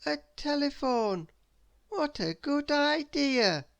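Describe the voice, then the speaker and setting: A woman voicing an anxious, fretful man in a storybook, high and wavering. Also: lively intonation, unhurried. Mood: excited, fretful. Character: anxious fretful male Mister Worry.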